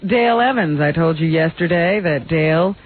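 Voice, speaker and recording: A man's voice: on the high side, normal speed. A man co-hosting a radio show, reading celebrity news in a casual, giggly way. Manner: giggly, laughs often while reading items. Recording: tinny phone-like radio broadcast.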